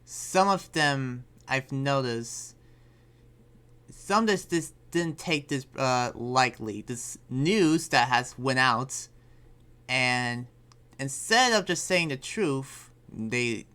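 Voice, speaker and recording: A man playing an earnest, troubled demon slayer and researcher in an improvised anime game roleplay, speaking haltingly and often trailing off. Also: medium pitch, expressive, pushed and bright, clear, resonant tone, heavily acted. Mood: worried, earnest.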